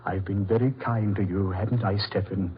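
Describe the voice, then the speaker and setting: An actor in an old-time radio horror play as a proud, coldly polite mad doctor, speaking in a deliberate, theatrical way. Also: male voice, fairly low pitch, fairly even intonation, a little hiss or hum, close to an everyday voice. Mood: smug, boastful. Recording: muffled vintage radio drama recording.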